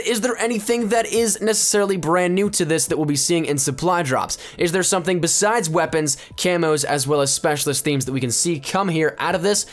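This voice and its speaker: A young man with a fairly high voice hosts a gaming news video. He speaks in wordy, rehearsed presenter phrases and leans on the ends of them, in the upbeat style of commentary over gameplay.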